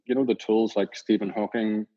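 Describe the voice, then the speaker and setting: A middle-aged man from Northern Ireland with a Northern Irish accent, a company founder chatting in an interview, relaxed and rambling with many fillers. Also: fairly low pitch, normal speed, a little hiss or hum. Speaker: company founder and CEO, guest. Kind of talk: casual interview talk. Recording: dull lo-fi audio.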